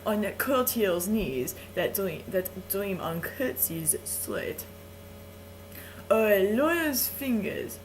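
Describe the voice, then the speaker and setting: A woman voicing a vain, flirtatious adult Frenchman who reads verse aloud in a slow, suggestive way. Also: mid-range voice, a little hiss or hum, low-quality recording. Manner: slow, lingering, suggestive delivery with chuckles. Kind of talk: playful dramatic reading of verse.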